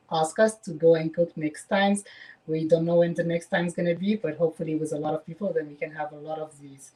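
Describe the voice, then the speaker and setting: A man's voice: fairly high pitch, darkish tone. Middle-aged man opening a live home cooking stream; friendly, casual, heard from a distance. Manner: friendly, hesitant, a few uhs. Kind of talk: casual welcome speech. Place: home kitchen, indoors. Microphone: webcam on a video call. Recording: distant webcam mic in kitchen.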